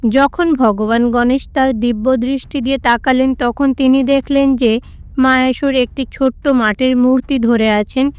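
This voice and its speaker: A woman's voice: high voice, fairly even intonation, a little slow, a little mellow, clean tone, dry recording. A woman storyteller giving scripted narration of a devotional cartoon tale.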